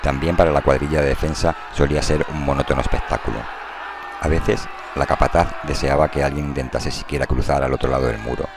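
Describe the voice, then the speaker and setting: A man narrating a dramatized novel in a measured, literary storytelling style. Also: rumbling low, background noise. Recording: prominent music under the voice.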